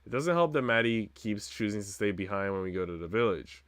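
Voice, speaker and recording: Guy streamer narrating a visual novel, smooth clean voice, dry sound, casual but expressive. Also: fairly low pitch, brisk, no room sound. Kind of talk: expressive first-person story narration with casual asides.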